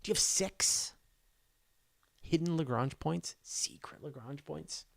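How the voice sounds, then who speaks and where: A man's voice: fairly high pitch, animated, takes it slowly, creaky, a bit bright. A man hosting a casual live question-and-answer session, explaining things step by step in an enthusiastic, conversational way. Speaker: host of a live astronomy Q&A.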